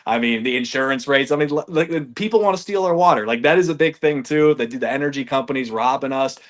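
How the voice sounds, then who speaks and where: A man in his thirties from Michigan, a golf reviewer chatting as a podcast guest, energetic and loose, swearing casually and saying "man" and "like" a lot. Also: mid-range voice, fast. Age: 30s. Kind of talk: casual chat.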